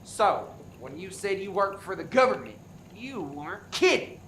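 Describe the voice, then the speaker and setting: A suspicious, easily irritated husband played by a woman in a radio comedy. The delivery is animated, rising and falling a lot, in a roomy echo with faint noise. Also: mid-range voice, normal speed, close to an everyday voice. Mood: surprised. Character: petulant, suspicious bereaved husband, male, short-tempered. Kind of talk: comedic radio play acting.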